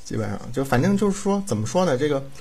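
A man's voice: mid-range voice, big pitch swings, quick pace, vocal fry, darkish tone, a little hiss or hum. A man chatting casually as a drama director, rambling and repeating himself for emphasis. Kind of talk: casual chat.

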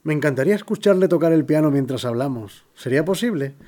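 A man playing a stern, calculating official with a formal, measured delivery in an audio drama. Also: fairly high pitch, expressive, dark tone, natural voice. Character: stern, calculating male official plotting crackdowns.

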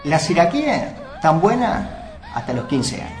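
A man's voice: a little high, sing-song, normal speed, a little mellow, roomy sound, a faint crowd, a little hiss or hum. A man with a Uruguayan accent playing a crude, boastful brigadista soldier who talks in blunt, rambling bursts, in a live radio comedy sketch. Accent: Uruguayan Spanish. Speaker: comic guest character.

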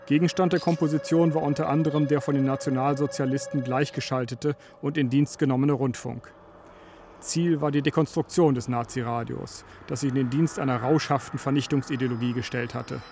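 A man narrating a scripted radio essay in a measured, lecturing way. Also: mid-range voice, steady tone, background noise.

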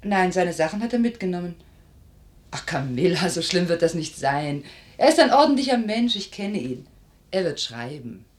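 An actress playing a kindly, homely older aunt who keeps a shop, in a radio drama, speaking expressively. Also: female voice, on the low side, big pitch swings, normal speed. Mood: consoling, motherly.

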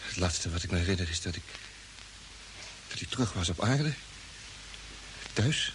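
An actor playing a dazed, guileless crewman, halting and bewildered, in an old radio play. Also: low-pitched, lively intonation. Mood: dazed, recalling. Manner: halting, bewildered, repeating words. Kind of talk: mid-20th-century Dutch radio play acting. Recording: hissy old radio drama recording.

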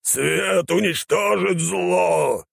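A man voicing a fanatical, dim old warrior of light in an audiobook, chanting slogans in drawn-out shouts. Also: fairly high pitch, fairly even intonation, gravelly, no room sound, clean studio sound, pitched above the performer's own voice, exaggerated voice. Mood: zealous, raving.

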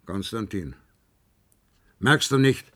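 An actor playing an exasperated man confronting a colleague in a German radio drama, with a raspy, creaky, forceful voice. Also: fairly low pitch, expressive, takes it slowly, exaggerated voice. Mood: exasperated, urgent.

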